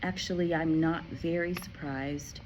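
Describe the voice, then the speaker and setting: A woman voicing a gentle, kindly schoolteacher who speaks quietly and calmly. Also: low-pitched, a little hiss or hum, rough recording. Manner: quiet, calm and measured.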